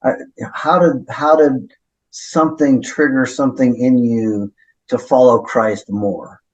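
A middle-aged man, a pastor, answering questions in a reflective, low-key way, often checking in with "right?". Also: fairly even intonation, takes it slowly, dull audio. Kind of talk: conversational interview.

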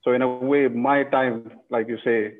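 A man's voice: medium pitch, little pitch movement, moderate pace. A man from Pakistan, a guest in a casual interview, speaking reflectively with many restarts and "you know"s. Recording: tinny phone-like line.

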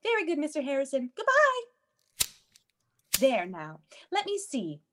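A woman's voice: high-pitched, huge pitch swings, measured pace, fairly bright tone, a little hiss or hum, heavily acted. A woman playing a prim, fussy babysitting agency dispatcher who reassures people, in a comedic radio play. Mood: brisk, businesslike.

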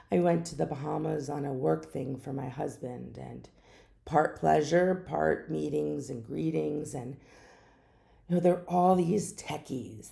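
Deep hoarse woman hosting her podcast, dark mellow tone, slow and thoughtful. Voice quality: naturally deep voice. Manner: unhurried, musing, with hesitations. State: hoarse from a lingering cough. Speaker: podcast host. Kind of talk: reflective solo podcast talk.

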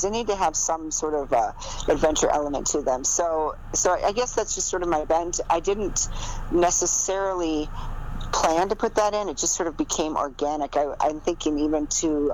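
A woman's voice: sits low, darkish tone, low-quality recording. A middle-aged American woman talks as a guest in a casual interview. She is chatty and loose, restarting and rephrasing as she goes. There is faint noise in the background. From American Midwest. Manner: chatty, rambling, self-correcting. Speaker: guest, romantic suspense novelist.

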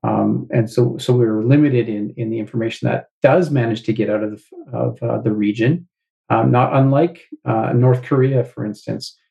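A man speaks as an interview guest in a measured, thoughtful way, with fillers along the way. His voice is gravelly and his tone is warm and dark. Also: mid-range voice, fairly even intonation, dull audio. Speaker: guest author. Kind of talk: casual interview talk.

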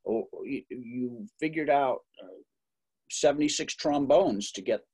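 A man's voice: mid-range voice, unhurried, a little mellow, breathy edge, low-quality recording. A middle-aged man from Massachusetts chats through an interview at an easy pace. He jokes and circles back with fillers and restarts as he tells stories about growing up. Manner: chatty, joking, many fillers and restarts. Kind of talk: relaxed interview storytelling.

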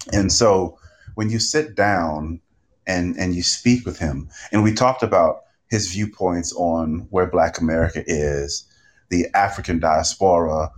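A man's voice: deep voice, slight background noise. A man speaking on a live panel in an earnest, reflective way, telling stories with emphasis. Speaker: podcast host and panel guest. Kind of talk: live panel talk, storytelling.

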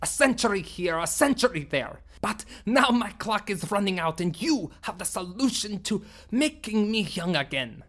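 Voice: mid-range voice, takes it slowly, fairly bright tone, husky. A narrator voicing an aged male alien warlord with a scratchy, whispery voice, snarling and commanding in an audiobook. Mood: furious. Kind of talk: audiobook character voice.

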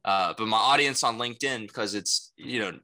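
A man's voice: fairly low pitch, a little fast, bright, forceful tone. A young man who speaks Mandarin Chinese natively, answering as a casual interview guest in a rambling way full of conversational tags. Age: young adult. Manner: rambling, frequent "you know" and "right?" tags. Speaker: interview guest, salesperson and rapper.

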